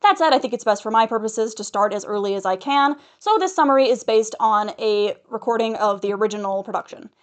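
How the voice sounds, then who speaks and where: A woman's voice: on the high side, expressive, fairly quick, few pauses, no echo. A woman narrating a scripted recap of a musical, chatty with asides to viewers, her voice a little off from a recent flu. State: getting over the flu, voice a bit off. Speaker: host summarizing a musical.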